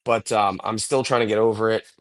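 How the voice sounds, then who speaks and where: A man co-hosting a podcast, a little hoarse and congested from a cold, rambling enthusiastically in casual chat with lots of fillers. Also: fairly low pitch, steady tone, brisk. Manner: rambling, enthusiastic cigar talk with many fillers. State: getting over a cold, a bit hoarse and congested. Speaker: podcast co-host.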